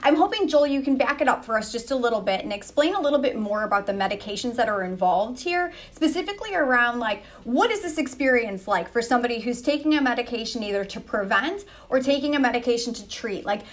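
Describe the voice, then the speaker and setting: A woman's voice: on the high side, lively intonation, fast, hardly any pauses. Chatty woman moderating a panel. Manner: upbeat, chatty, lots of 'like'. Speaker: moderator, reporter. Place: indoors, office room. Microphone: video call, webcam.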